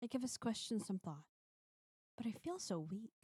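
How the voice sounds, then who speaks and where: A woman's voice: fairly high pitch, expressive, moderate pace, dark tone, breathy edge, no room sound, clean studio sound. A woman streamer reading a visual novel's first-person narration dramatically, playfully breaking off for casual asides.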